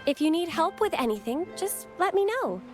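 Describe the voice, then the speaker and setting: High-voiced, devout acolyte, music behind. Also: female voice, very animated, moderate pace. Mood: polite, helpful. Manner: polite, formal, reverent. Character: devout, courteous young woman acolyte of a religious order. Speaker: temple acolyte guide. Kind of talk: scripted video game dialogue, reverent exposition. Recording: game music under the voice. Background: game background music.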